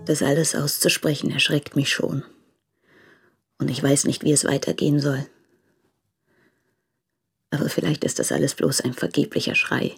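An actress playing a lonely, despairing young woman who confesses in letters in a radio drama, with a husky, halting, subdued delivery. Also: low-pitched, darkish tone, exaggerated voice. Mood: anguished, resigned. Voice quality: breathy, husky with creaky edges.

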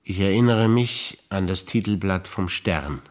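A man's voice: deep voice, unhurried. An older man, a stage actor, telling wry memories in a theatre monologue.

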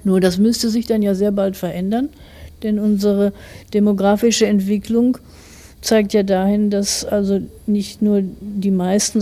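A woman's voice: medium pitch, warm tone. A woman of forty, an author answering interview questions in a reflective, careful, explanatory way.

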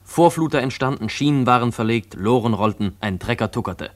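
A man's voice: mid-range voice, lively intonation, a little fast. A man delivering scripted narration in the style of a radio report.

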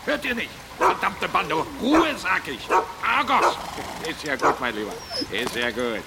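A man playing a bluff, commanding country captain and host in a German radio drama, gruff and hearty. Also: fairly high pitch, huge pitch swings, unhurried, heavy background noise, heavily acted.

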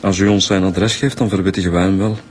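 A man's voice: low-pitched, fairly even intonation, quick pace, close to an everyday voice. A man with a Flemish accent playing an easygoing, bantering police inspector, conversational in a radio drama. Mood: inquiring.

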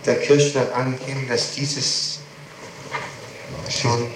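A man conducting a formal interrogation with deliberate questions, recorded on an old tape from the 1960s. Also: medium pitch, little pitch movement, heavy reverb, a little hiss or hum, rough recording.

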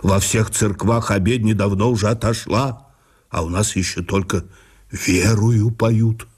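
A man's voice: lowish voice, steady tone, measured pace, slightly rough, pitched below the performer's own voice. A man doing a fed-up, grumbling churchgoer. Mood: exasperated. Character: exasperated parishioner pleading with the choirmaster's wife. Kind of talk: audiobook character quote.

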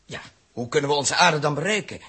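An actor in a 1960s science-fiction radio drama playing an earnest, respectful spaceship captain with a hesitant manner. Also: male voice, a little high, expressive, fairly quick, bright, forceful tone, husky, full character voice. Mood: worried.

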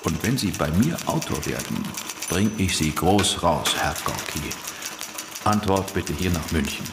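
An actor playing a self-important, scheming would-be publisher in a radio play, dictating pompously with muttered asides and self-corrections. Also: male voice, deep voice, animated, measured pace, gravelly, exaggerated voice. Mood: salesmanlike. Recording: roomy, noisy radio drama scene.

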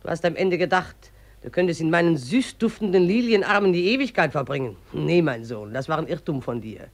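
An actress in a radio play voicing the river itself as a gruff, mocking old woman who scolds in an earthy, derisive way. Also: low-pitched, animated, a little fast, no echo. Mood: scornful. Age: older.

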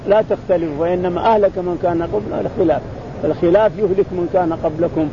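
Fast, high-voiced man teaching religious texts. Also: steady tone. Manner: repeats phrases, restarts words, acts out quoted exchanges. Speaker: teacher explaining hadith. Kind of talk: spoken religious commentary. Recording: muffled recording with loud rumbling noise.

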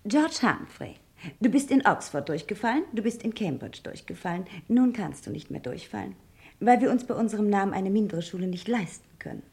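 Haughty, husky, dark-voiced rich daughter. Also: female voice, mid-range voice, animated, fairly quick. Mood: scornful. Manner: pompous, reproachful, precise. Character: haughty, snobbish rich daughter, scolding her brother. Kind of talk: German radio crime play acting.

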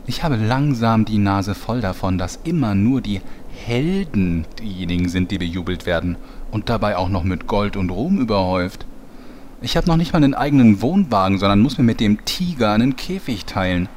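A man's voice: fairly low pitch, lively intonation, normal speed, darkish tone, slight background noise, natural voice. A man playing a sulky, aggrieved narrator making demands in a parody audio drama. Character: disgruntled male storyteller on strike, sulky and demanding.

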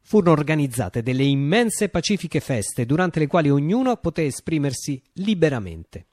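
Theatrical, swooping revolutionary narrator. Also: male voice, a little high, big pitch swings, darkish tone, no room sound, studio quality. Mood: wistful, exalted. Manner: deliberate phrasing with dramatic pauses, emphatic lists. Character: reflective male revolutionary recalling a utopian Italian uprising. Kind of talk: theatrical radio monologue, dramatic storytelling.

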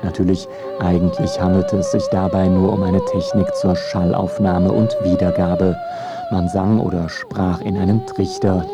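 A man narrating a scripted radio feature in a wry documentary style. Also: deep voice.

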